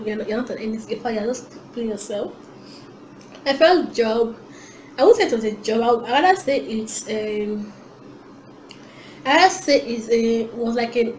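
A woman's voice: fairly high pitch, reverberant, a little hiss or hum, low-quality recording. A Nigerian woman in her twenties chatting casually to camera in a vlog, giggling often and talking with her hands. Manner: chatty, giggly, animated hand gestures. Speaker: vlogger. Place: small indoor room at home.

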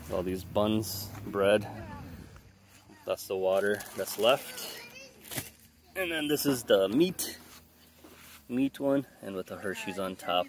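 A man with a lowish voice walks a phone camera through a woodland campsite. He names each item in turn in a plain, matter-of-fact way, with faint outdoor noise behind him. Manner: plain, listing things one by one. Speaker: family member giving a campsite tour. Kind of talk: casual walkthrough tour.

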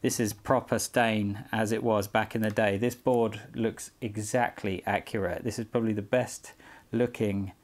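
A man's voice: fairly low pitch, fairly even intonation, normal speed. A British man in his forties talking casually to camera as he shows a product in a room at home. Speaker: skateboard collector vlogger. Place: small indoor room at home.

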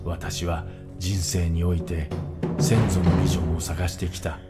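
A deep-voiced, world-weary bear warrior from an anime cutscene, acted by a man, narrates in a solemn, grumbling way. His delivery is measured and dramatic, with loud music behind it. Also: level tone, lightly acted. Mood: solemn, resolute.

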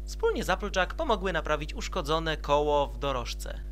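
Bright, higher-pitched male story narrator. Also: sing-song, normal speed, a little hiss or hum. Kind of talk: scripted story narration for an amateur comic dub.

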